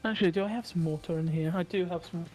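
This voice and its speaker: A man's voice: high voice, quick pace, a little mellow, soft background music. A young man co-hosting a podcast from his desk at home, joking crudely and laughing often. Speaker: podcast co-host. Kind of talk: casual banter.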